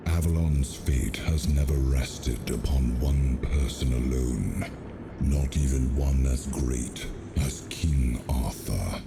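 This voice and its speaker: An immensely deep, ancient lord of the seas for a fantasy video game. He is grave and wise, drawing out portentous words in a gravelly creak, over loud music. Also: takes it slowly, heavily acted. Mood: wise, respectful. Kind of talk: dramatic video game acting.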